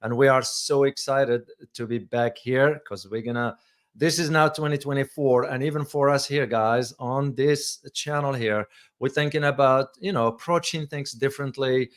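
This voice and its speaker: A middle-aged man with a loud voice and a mid-range pitch, co-hosting a casual current-affairs chat. He talks in a halting way, restarting phrases and padding them with fillers. He sits close to his microphone in a studio. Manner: loud voice, hesitant with many restarts. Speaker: co-host. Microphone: close mic.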